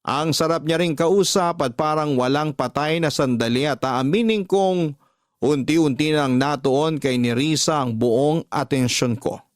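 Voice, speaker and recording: A man narrating a radio drama in the first person as an unrepentant womanizer, in measured, confessional storytelling. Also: a little high, no room sound, studio quality, lightly acted. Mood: frank, unrepentant. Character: unrepentant womanizer confessing his affairs in a letter.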